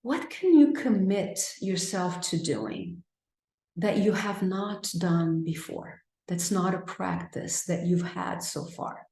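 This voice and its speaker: A woman with a Brazilian accent leading a mindfulness class on a video call, gentle and affirming. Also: lowish voice, sing-song, slow, roomy sound. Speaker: mindfulness teacher. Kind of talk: live online class, reading chat responses.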